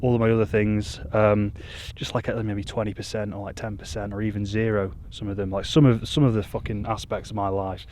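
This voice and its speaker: Monotone young man outdoors. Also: on the low side, fairly quick, a little hiss or hum. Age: 20s. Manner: monotone, self-deprecating, rambling. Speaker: YouTuber. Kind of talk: casual vlog talk to camera. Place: outdoors on a hilltop. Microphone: mic with furry windscreen.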